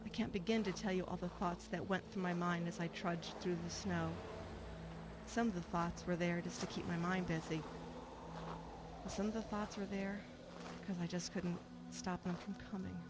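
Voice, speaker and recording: A woman's voice: fairly low pitch, flat delivery, normal speed, close to an everyday voice. An actress playing a troubled middle-aged woman, earnest and reflective, in a narrated radio drama. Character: troubled, wistful woman returning home to her estranged mother. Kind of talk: radio drama acting with first-person narration. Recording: clear background noise.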